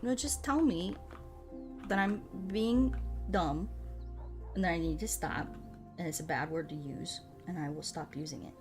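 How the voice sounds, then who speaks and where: A woman from the United States chatting casually as a streamer, animated and rambling with a salty tongue. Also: on the low side, unhurried, long pauses, over music. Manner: animated rambling, frequent swearing. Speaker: streamer chatting with viewers during a puzzle game. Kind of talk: casual livestream chat.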